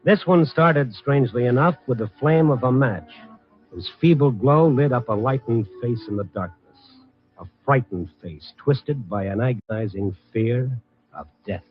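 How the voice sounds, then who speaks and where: An American actor playing a wry, hard-boiled night-beat newspaper columnist in 1950s radio drama style, with streetwise, dramatic storytelling. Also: male voice, medium pitch, animated, normal speed, natural voice. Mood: brooding, wry. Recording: muffled vintage radio recording, music underneath.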